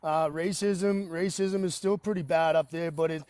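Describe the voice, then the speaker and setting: A man in his forties with a Boston accent, calling into a talk show and rambling in an animated way with frequent restarts. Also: fairly high pitch, moderate pace, no echo, studio quality. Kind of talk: casual talk-show call.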